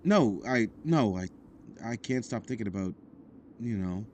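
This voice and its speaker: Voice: mid-range voice, measured pace, slight background noise, close to an everyday voice. An actor voicing a kind young boy who teases and reassures his friend. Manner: warm, teasing, reassuring, animated. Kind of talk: audio drama acting.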